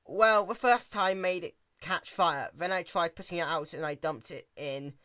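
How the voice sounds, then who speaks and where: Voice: medium pitch, normal speed, bright, forceful tone, no echo, clean studio sound, pitched above the performer's own voice, natural voice. A performer voicing a nervous, apologetic young male pony who stutters and hesitates in a storybook reading. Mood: sheepish, fearful.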